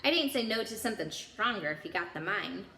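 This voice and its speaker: Woman playing a booming storybook giant man, quick-tempered, gruff, indignant, swooping pitch, roomy echo. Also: lively intonation, bright, forceful tone. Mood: gruff, genial. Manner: barking, growling, indignant.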